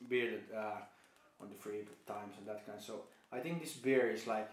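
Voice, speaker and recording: A man's voice: on the low side, fairly even intonation, moderate pace, very noisy. A man from Finland with a Finnish accent, chatting casually over beer as a podcast co-host, blunt and rambling, with swearing. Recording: echoey room, amateur recording.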